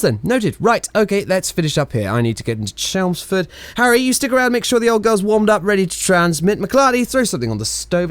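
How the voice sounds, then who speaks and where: Male actor as exuberant 1920s British chief radio engineer: bantering, theatrical, lighter-pitched, dry studio sound, period radio drama. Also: no room sound. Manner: theatrical, bantering, old-chap turns of phrase. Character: ebullient, irreverent 1920s English radio engineer in charge. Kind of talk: period radio play acting.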